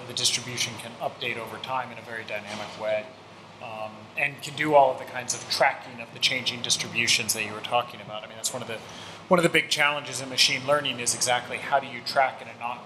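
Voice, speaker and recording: A man's voice: mid-range voice, steady tone, fast talker, bright, forceful tone. A middle-aged man on an academic panel, thinking aloud and searching for words as he asks and answers questions. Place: seminar room with a panel table. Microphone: table microphone. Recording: echoey hall with background noise.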